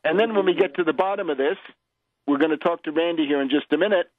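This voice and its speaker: Guy hosting political radio talk, kinda high voice, lectures a bit, dry but muffled lo-fi sound. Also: fast, no room sound. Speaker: radio show host. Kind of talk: conversational political radio interview.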